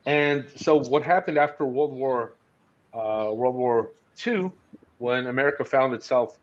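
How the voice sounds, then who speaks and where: A man discussing history conversationally on a podcast, speaking haltingly as he thinks aloud. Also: mid-range voice. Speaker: podcast host discussing books. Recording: dull, lo-fi audio.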